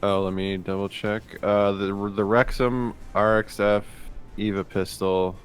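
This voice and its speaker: A man's voice: lowish voice, level tone, measured pace. A man playing a tabletop game, chatting casually and thinking aloud in a laid-back, hesitant way. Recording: faint music behind.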